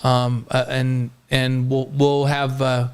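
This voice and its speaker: A man's voice: medium pitch, completely flat delivery, slow, smooth. A man with an American accent co-hosting a sports show in casual, chatty conversation.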